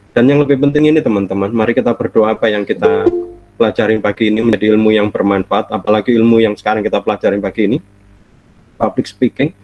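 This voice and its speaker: A middle-aged man gives a friendly, chatty online university lecture from home, his voice nasal and stuffy from a cold. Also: fairly low pitch. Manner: friendly, chatty, laughs often, drawn-out fillers. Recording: dull lo-fi online call audio.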